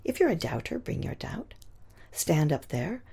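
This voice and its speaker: A woman with a low, very husky and breathy voice recites rhymed poetry. She takes it slowly, phrase by phrase, with deliberate weight on each short line and a gravelly creak running through. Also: very animated, warm tone. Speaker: poet.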